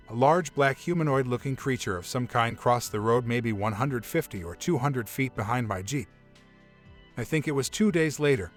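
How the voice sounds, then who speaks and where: A man narrating a first-person story in a measured, even reading voice. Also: medium pitch. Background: faint background music.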